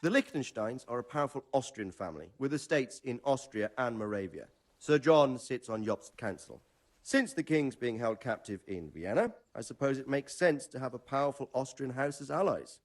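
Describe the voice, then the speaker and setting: A knowledgeable older nobleman in a medieval video game, played by a man. He explains in a measured manner at a middling pitch, with a melody that rises and falls freely. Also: expressive, natural voice. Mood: measured, explanatory. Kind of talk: video game dramatic dialogue.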